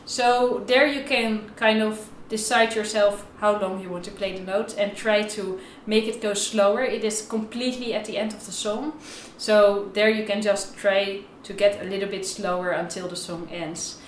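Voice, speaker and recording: A woman's voice: medium pitch, fairly even intonation, moderate pace, hardly any pauses, a little hiss or hum. A young woman giving an instructional violin tutorial to camera in an informal, explanatory way, with slightly non-native phrasing. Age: young adult. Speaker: violin teacher. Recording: roomy echo, violin playing at times.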